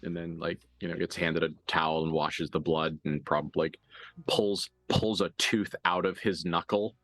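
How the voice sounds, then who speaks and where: A man improvising narration as a game master for a horror tabletop game, conversational and halting, with graphic detail. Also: low voice, steady tone, fairly bright tone, faint music behind.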